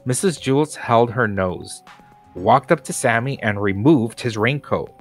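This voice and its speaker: A man's voice: medium pitch, expressive, unhurried, soft background music. A man narrating a children's storybook aloud as a scripted reading. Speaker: storybook reader.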